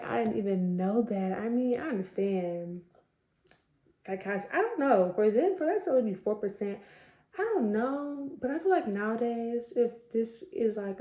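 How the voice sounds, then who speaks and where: A young woman with a slightly raspy voice, chatting casually to camera with lots of fillers. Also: mid-range voice, warm tone, roomy sound. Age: young adult. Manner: chatty, conversational, many fillers. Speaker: vlogger.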